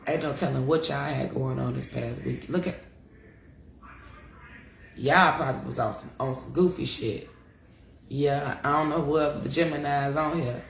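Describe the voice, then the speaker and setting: A woman's voice: extremely low pitch, gravelly, roomy sound, slight background noise. A congested woman giving a casual live-stream astrology talk, chatty and frank, cursing freely and calling her viewers y'all. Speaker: astrology teacher. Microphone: live-stream device mic.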